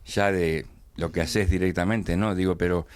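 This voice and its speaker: Deep-voiced interviewer, halting. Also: male voice, steady tone, smooth, no echo. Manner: hesitant, many fillers and restarts. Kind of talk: conversational TV interview. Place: small radio studio.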